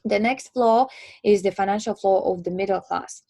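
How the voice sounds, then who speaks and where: A woman with a Latvian accent giving an online presentation, explaining in a hesitant, halting way with many filler words. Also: mid-range voice, creaky, dark tone, dry recording. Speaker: presenter and trainer.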